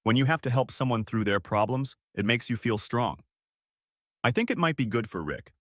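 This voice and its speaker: A man's voice: mid-range voice, expressive, moderate pace, a bit bright, no echo, clean studio sound, natural voice. A man reading a story aloud, voicing a friendly woman neighbor who talks earnestly and with concern. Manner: earnest, caring.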